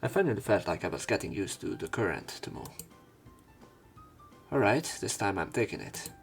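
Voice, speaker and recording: Low-voiced man with a gravelly creak. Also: animated, normal speed, soft background music. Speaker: let's play narrator. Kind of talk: reading a visual novel aloud with casual commentary.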